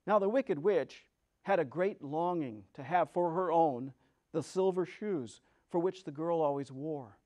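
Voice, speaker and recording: A man giving a dramatic storybook reading as a storyteller, with theatrical, expressive emphasis. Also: fairly high pitch, unhurried.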